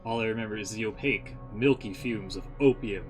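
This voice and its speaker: A man's voice: on the low side, brisk, soft background music, close to an everyday voice. A man voicing a haunted scholar who solemnly narrates his grim horror journal from a game. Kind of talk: dramatic scripted narration.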